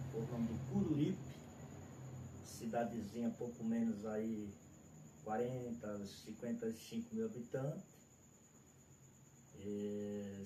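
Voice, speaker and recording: An evangelist with a Northeastern Brazilian accent from Alagoas answers in a plainspoken, respectful way. His mid-pitched voice is mellow. He is recorded from a distance in an echoey room with faint noise. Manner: plainspoken, respectful, keeps addressing the pastor. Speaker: evangelist guest. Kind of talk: testimony interview answers.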